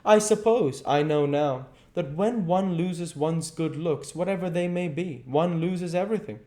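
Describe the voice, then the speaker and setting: Brief: a man playing a vain young man in anguish, pushed well above his natural pitch, pleading and lively. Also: moderate pace, close to an everyday voice.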